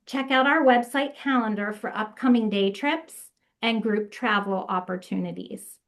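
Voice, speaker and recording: A woman presenting on a video call, reading prepared notes at an unhurried pace with occasional fillers. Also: on the high side, a bit bright. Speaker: association staff presenter. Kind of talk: webinar presentation.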